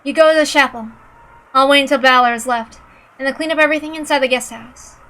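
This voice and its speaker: A woman voicing a cool, commanding female killer with a brisk, assured manner in a mystery story reading. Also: sits high, sharp tone, pitched above the performer's own voice.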